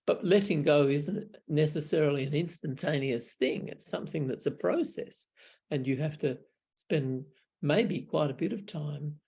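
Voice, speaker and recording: A man's voice: on the high side, unhurried, vocal fry, darkish tone, slightly rough. An older Australian man, a Buddhist monk, telling wry, reflective stories in casual talk over a video call from a small room at home. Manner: reflective, wry, chuckling, frequent restarts. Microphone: video call webcam.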